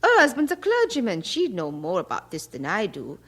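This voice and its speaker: A woman's voice: medium pitch, wildly swooping pitch, moderate pace. An actress playing a practical, nagging London mother in a radio drama. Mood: brisk, motherly. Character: fussy, practical working-class London mother.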